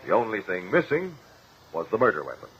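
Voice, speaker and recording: An actor playing a shrewd, confident courtroom investigator in a 1950s radio drama, speaking formally and precisely. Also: male voice, on the low side, huge pitch swings, fairly quick. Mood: confident, explanatory. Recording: muffled, noisy old radio recording.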